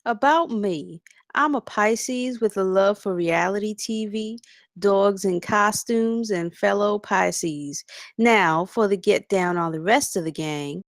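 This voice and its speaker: A woman's voice: mid-range voice, slow, no echo, clean studio sound. A woman playing a chatty campus security officer who gives breezy, gossipy introductions in a screenplay table read.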